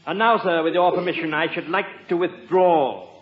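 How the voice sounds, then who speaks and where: A man's voice: on the high side, close to an everyday voice. A man playing an unflappable English butler in a 1950s radio comedy, speaking formally and deferentially. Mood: polite, composed. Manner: formal, measured, courteous. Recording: muffled old radio recording, very roomy.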